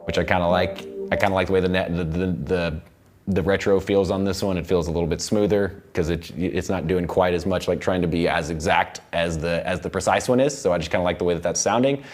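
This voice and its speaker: Low-voiced man giving a casual tutorial. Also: a little fast, darkish tone, soft background music. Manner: informal, loosely worded explaining with repeated words. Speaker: music production instructor. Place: recording studio control room.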